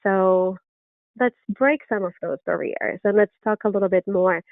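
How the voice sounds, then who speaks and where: A woman's voice: mid-range voice, normal speed, gravelly, no echo. Argentine woman lecturing over tinny audio. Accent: Argentine Spanish. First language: Spanish. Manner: earnest, enthusiastic, with frequent ums and restarts. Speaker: orthodontist lecturer. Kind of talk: webinar presentation. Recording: dry, tinny phone-like webinar audio.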